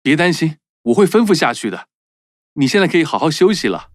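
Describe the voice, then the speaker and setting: A man's voice: fairly high pitch, sing-song, fairly quick. A man voicing a sincere, courteous young prince in a children's cartoon. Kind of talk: cartoon dubbing.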